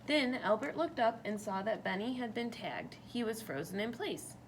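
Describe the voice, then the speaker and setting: A woman reading a picture book aloud for children, pausing to ask them questions about the story. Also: fairly high pitch, lively intonation, a little hiss or hum, rough recording. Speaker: storytime reader.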